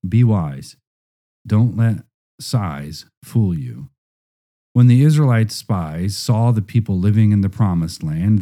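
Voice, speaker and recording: Deep, very mellow male narrator.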